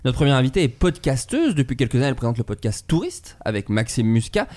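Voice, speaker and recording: A man's voice: mid-range voice, fast talker. A man hosting a casual comedy podcast in French, joking and laughing often. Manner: lively, jokey, laughs often. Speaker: podcast host.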